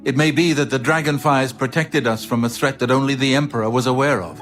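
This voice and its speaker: Actor as a solemn fantasy loremaster and old-guard captain, measured. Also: male voice, medium pitch, steady tone, fairly bright tone, faint music behind, lightly acted. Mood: solemn, explanatory. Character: grave, learned former royal bodyguard captain, loyal and measured. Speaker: video game character. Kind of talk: scripted fantasy game dialogue.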